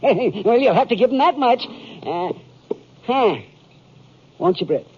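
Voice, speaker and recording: An actor playing an excitable, folksy American posse man in a 1950s radio Western, talking emphatically with a country drawl. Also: very high voice, takes it slowly, husky, heavily acted. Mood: sly, needling. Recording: dull 1950s radio recording.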